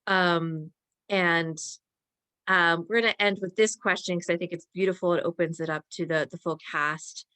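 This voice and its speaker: A woman moderating an audience question-and-answer session, speaking in a deliberate, emphatic way. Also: lowish voice, steady tone, bright, forceful tone, no room sound. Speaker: talkback moderator.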